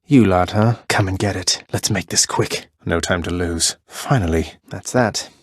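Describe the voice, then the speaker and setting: A man's voice: low voice, measured pace, slightly rough, no room sound. A male actor playing a wry, confident young underworld prince in a video game, with a dry, understated, cocky way of speaking. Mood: dry, brisk. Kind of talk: short in-game voice lines.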